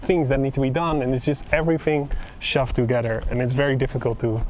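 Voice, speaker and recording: A young man with a Dutch accent chatting in a relaxed way while walking outdoors, his English a little loose. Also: medium pitch, fast talker, a little hiss or hum. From Netherlands. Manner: relaxed, slightly loose grammar. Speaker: travel vlogger. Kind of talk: casual walk-and-talk Q&A. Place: outdoors in a town.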